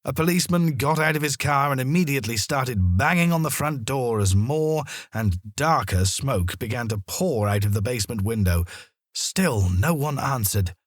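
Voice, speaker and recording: A man narrating a children's story in a dramatic, expressive manner. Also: mid-range voice, moderate pace, dry recording, studio quality.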